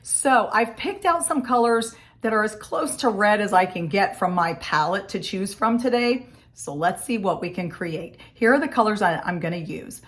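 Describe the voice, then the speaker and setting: A woman with a scratchy, hoarse voice from a cold, chatting casually through a makeup tutorial in her home office. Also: medium pitch, normal speed. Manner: chatty, friendly step-by-step explaining. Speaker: makeup vlogger.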